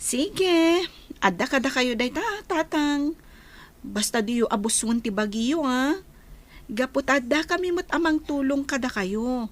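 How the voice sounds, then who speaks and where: A woman playing a caring, dutiful young adult daughter in an Ilocano radio drama, speaking in a solicitous, gently insistent way. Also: high voice, expressive, a little slow. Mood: concerned. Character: caring, dutiful grown daughter of village father.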